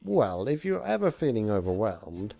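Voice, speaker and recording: A man's voice: fairly low pitch, sing-song, moderate pace, creaky, warm tone, faint music behind, voice pushed up. A man voicing a smug, gloating, mischievous god of chaos in a cartoon fan story, with a theatrical, taunting delivery. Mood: falsely warm.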